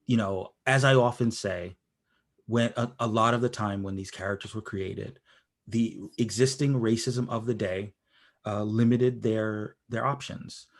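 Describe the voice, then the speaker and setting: Man, low-pitched voice, podcast host, conversational commentary, measured and deliberate, halting repetitions. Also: steady tone, creaky. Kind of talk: conversational pop-culture commentary.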